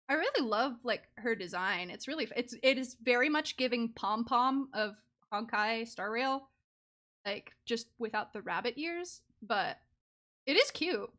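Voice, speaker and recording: Bright, high-pitched, animated woman streamer. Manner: giggly, excitable reactions. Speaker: game streamer. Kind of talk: casual live gaming commentary.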